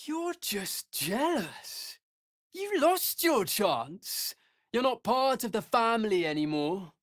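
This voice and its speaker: An actress playing a haughty, taunting female vampire spawn in a video game, with disdainful, drawn-out delivery. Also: on the high side, sing-song, dry recording, clean studio sound, heavily acted. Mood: scornful. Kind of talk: dramatic video game acting.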